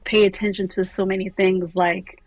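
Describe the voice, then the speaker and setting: A woman's voice: medium pitch, level tone, normal speed, no room sound, low-quality recording. A woman singer speaking as a guest in a casual interview, thoughtful and friendly, laughing often. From Germany.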